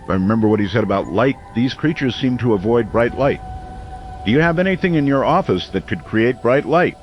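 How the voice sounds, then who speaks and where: An actor playing a community radio host during an emergency in an audio drama, measured, earnest and reassuring. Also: male voice, medium pitch, expressive, faint music behind, close to an everyday voice. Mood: reassuring, urgent. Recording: dull lo-fi radio sound, faint noise.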